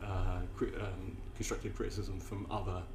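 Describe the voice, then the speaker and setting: Man, podcast host, casual chatty interviewer, lowish voice, roomy echo, faint background hum. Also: level tone, normal speed, darkish tone. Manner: chatty, swears, trails off and restarts mid-sentence. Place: room with several poets nearby. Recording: echoey room, faint ambient noise.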